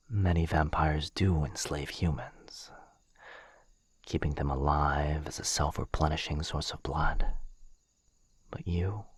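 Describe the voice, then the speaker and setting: A man playing a gentle, protective, courtly vampire lord in an ASMR roleplay, speaking softly in a husky near-whisper with a hesitant, formal, earnest manner. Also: extremely low pitch, no echo, full character voice. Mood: reassuring. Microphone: close studio mic, dry.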